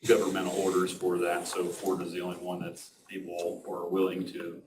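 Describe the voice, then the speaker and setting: A man's voice: low-pitched, a little fast. A man on a county staff explaining the background to a bid at a public meeting, hesitant and full of fillers. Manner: flat and hesitant, with many fillers. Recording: echoey room, distant mic, clear hiss.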